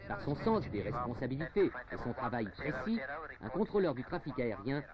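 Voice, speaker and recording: A man's voice: medium pitch, big pitch swings, moderate pace, crowd noise. A man reading scripted narration for a 1970s informational film in a formal, emphatic, carefully articulated way. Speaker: documentary narrator. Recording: dull, noisy 1970s film soundtrack.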